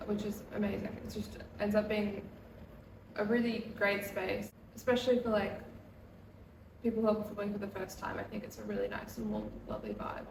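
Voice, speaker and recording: A woman's voice: medium pitch, a little fast. A woman, a comedian chatting casually in an interview with lots of "um" and "like". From Australia. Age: 20s. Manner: relaxed, chatty, with many fillers like "um" and "like". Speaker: comedian and comedy night producer. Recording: echoey room, mic far off, noisy background.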